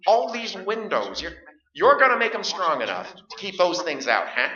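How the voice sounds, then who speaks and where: Male actor, stubborn frightened middle-aged husband, radio drama, piercing bright tone, wide swinging pitch, agitated, echoey stage sound. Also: very animated, normal speed, full character voice. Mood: combative, agitated. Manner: argumentative, agitated, stammering. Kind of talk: staged radio play acting. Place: live stage performance. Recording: dull, echoey live stage recording.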